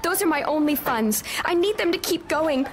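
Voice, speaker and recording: High-voiced, desperate young noblewoman. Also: animated, moderate pace, a crowd in the background. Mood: defiant. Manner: pleading, defiant. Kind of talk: full-cast audio drama acting. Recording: heavy background ambience under voice.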